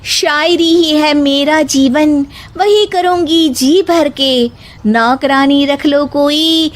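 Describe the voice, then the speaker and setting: Woman acting a feisty high-pitched young daughter-in-law. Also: slow. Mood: defiant, theatrical. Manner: declaims mocking rhymed couplets. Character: young daughter-in-law, feisty, complaining, rhyme-slinging wife.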